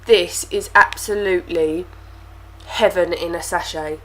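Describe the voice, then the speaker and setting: A woman's voice: fairly low pitch, steady tone, measured pace, pushed and bright, a little hiss or hum, poor audio. A young woman reviewing beauty products to camera, talking casually and sounding stuffy from a cold. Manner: chatty, with lots of fillers and repeated words. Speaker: beauty vlogger.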